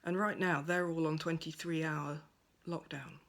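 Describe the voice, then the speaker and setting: A woman giving a gentle, thoughtful monologue at home by a window, her voice soft and at times close to a whisper. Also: deep voice, fairly even intonation, moderate pace. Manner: soft-spoken, thoughtful, drifts into near-whispers. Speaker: pagan speaker sharing reflections. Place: at home by a window over a garden.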